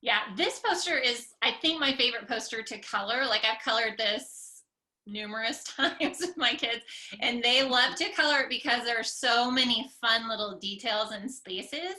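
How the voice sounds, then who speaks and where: A woman's voice: on the high side, normal speed, sharp tone. A woman hosting a casual interview, enthusiastic and encouraging toward her guest, recorded with roomy, echoing sound. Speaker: interviewer, host.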